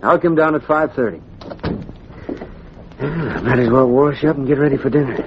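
An actor playing a polite, earnest young college football star in an old radio drama. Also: male voice, mid-range voice, moderate pace, lightly acted. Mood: cheerful. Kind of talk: 1940s radio drama acting. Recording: muffled, noisy old radio recording.

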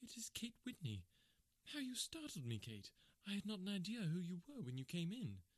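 Brief: a soft, motherly young wife, voiced by a male narrator, lifted high, close dry sound. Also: wildly swooping pitch, moderate pace, no room sound, full character voice. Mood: surprised. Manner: soothing, motherly. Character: kind, gentle young wife and friend.

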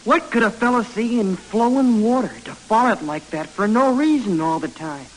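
An actor playing a curious, folksy frontier local with a rural American accent in an old radio western. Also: male voice, sits high, lively intonation, normal speed, a little hiss or hum. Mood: wondering. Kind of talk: old-time radio drama acting. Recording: reverberant old radio recording, faint music.

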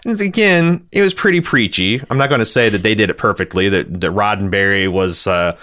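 A middle-aged American man with a fairly low voice co-hosts a podcast. He comments casually and candidly, circling his thoughts in halting, rambling phrases. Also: sharp tone. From Indiana, United States. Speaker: podcast co-host.

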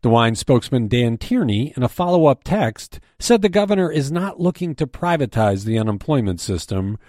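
American man reading news, lively pitch, dry sound. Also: normal speed, no echo. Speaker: news editor and briefing host. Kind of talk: scripted news briefing narration.

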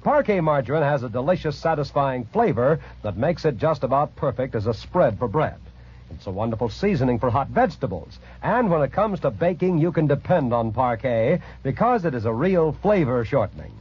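A man's voice: mid-range voice, very animated, fairly quick, breathy edge. An American man reading a scripted 1940s radio advertisement in an earnest, persuasive manner. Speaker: radio commercial announcer. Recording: muffled old 1940s radio recording.

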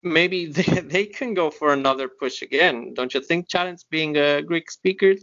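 A man's voice: a little high, normal speed, a bit bright. A young man co-hosting a casual sports podcast, easygoing and hesitant, with lots of fillers. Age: young adult. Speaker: podcast co-host. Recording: dull lo-fi audio.

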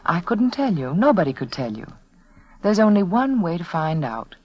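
Low, expressive American woman in vintage radio ad. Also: moderate pace, lo-fi sound. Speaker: sponsor spokeswoman. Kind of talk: scripted 1940s radio advertising. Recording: vintage 1940s radio broadcast.

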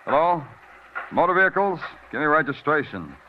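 An actor playing a terse, wisecracking detective lieutenant who also narrates in a brooding tone, in 1940s radio style. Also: male voice, on the high side, big pitch swings, moderate pace, a little hiss or hum. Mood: urgent. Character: hard-boiled male detective lieutenant, terse and dry. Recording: dull old radio recording with music.

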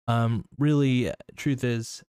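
A man's voice: medium pitch, fairly even intonation, slow pace, mellow, clear, resonant tone, no room sound. Rambling man in a dry room. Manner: rambling, many restarts and fillers. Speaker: podcast host. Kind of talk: casual solo podcast talk.

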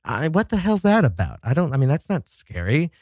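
A man's voice: a little high, huge pitch swings, a little fast, darkish tone, breathy edge, dry recording, studio quality. A man hosting a casual solo podcast, telling stories dramatically with chatty asides and some swearing. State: coming down with a cold. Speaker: podcast host. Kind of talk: casual solo talk show about horror films.

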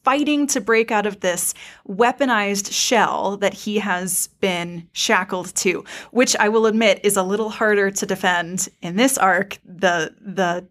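A woman's voice: mid-range voice, a little slow, few pauses, a bit bright. Casting: female podcast co-host, mid-range voice, effusive and playfully dramatic fan commentary. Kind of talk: casual chat, pop-culture commentary.

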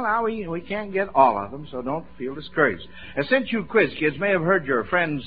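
A man's voice: fairly high pitch, breathy edge. An American man hosting a 1940s radio quiz show, folksy and encouraging, with chuckles in his talk. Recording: dull vintage radio broadcast recording.

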